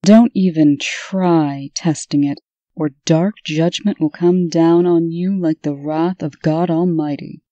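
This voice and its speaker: A woman's voice: deep voice, steady tone, takes it slowly, dark tone, no echo. A woman playing a strict, commanding, no-nonsense official and elder sister in a comic dub. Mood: stern.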